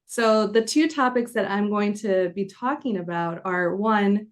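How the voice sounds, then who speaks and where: A woman's voice: mid-range voice, unhurried. A woman in her thirties from the Chicago area, a corporate attorney explaining things earnestly on a podcast interview. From Chicago area, United States.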